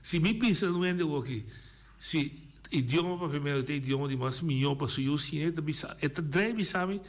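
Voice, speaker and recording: A man hosting a radio call-in discussion, talking in an animated, emphatic way with many restarts. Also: a little high, moderate pace. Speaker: radio host. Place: radio studio.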